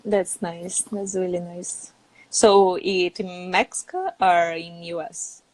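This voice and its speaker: A woman's voice: fairly low pitch, slow, darkish tone, no echo, poor audio. A woman with a Brazilian accent, a native Portuguese speaker, hosting a casual English-learning live chat.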